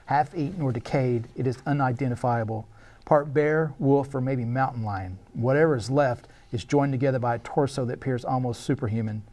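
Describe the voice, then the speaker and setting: A man's voice: mid-range voice, a little fast, mellow. A man reading stage directions as narrator at a live screenplay table read, in a scripted narration style.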